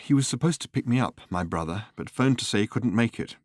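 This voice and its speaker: Young Englishwoman, played by a male narrator, audiobook dialogue, brisk and politely guarded, dry studio-close sound. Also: fairly low pitch, animated, no echo. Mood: inquiring.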